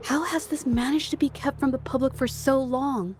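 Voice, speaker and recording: A high, gentle female voice from a woman actor plays an earnest young investigator in a game cutscene. She is soft-spoken and thoughtful, with faint music behind her. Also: steady tone, moderate pace, lightly acted. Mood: thoughtful, puzzled. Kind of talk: video game cutscene acting.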